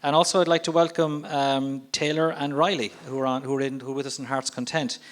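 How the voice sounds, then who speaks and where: A man's voice: mid-range voice, little pitch movement, a little fast, fairly bright tone. A man hosting a live event and introducing guests, talking loosely and often restarting his phrases. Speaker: event host. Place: stage at a community event in Ireland.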